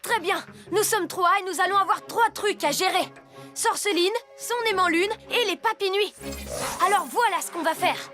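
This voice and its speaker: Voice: very high voice, normal speed, pushed and bright, soft background music. An actor playing a confident, level-headed young boy superhero leader in a French cartoon, reassuring and decisive. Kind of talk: French animated series dubbing.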